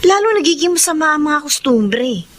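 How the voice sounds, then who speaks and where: A troubled Filipino daughter in a radio drama. She has a somewhat high voice and a plaintive, questioning way of talking, with faint noise behind it. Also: big pitch swings, normal speed. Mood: weary, troubled. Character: weary, troubled daughter and younger sister.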